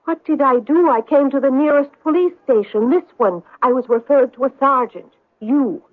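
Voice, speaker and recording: A woman's voice: high voice, dry recording. Feisty woman, vintage radio. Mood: brisk, indignant. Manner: lilting, indignant, theatrically drawn-out storytelling. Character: feisty, evasive Irish-American woman reporting a theft. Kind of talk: old-time radio drama acting. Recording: dry vintage radio recording, muffled.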